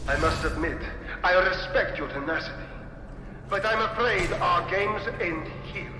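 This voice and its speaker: A man's voice: high voice, measured pace. A man playing a cold, smug mercenary villain in a horror game, speaking in a formal, taunting way. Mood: smug, menacing. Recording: distant game audio with loud noise.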